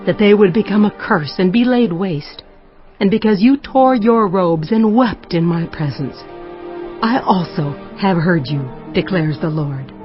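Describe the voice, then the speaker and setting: A woman's voice: on the low side, a little slow, natural voice. A woman playing a stern prophetess in a dramatized scripture audiobook, speaking in a solemn, declamatory manner. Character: woman prophet delivering stern divine judgement. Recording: dull lo-fi sound with music behind.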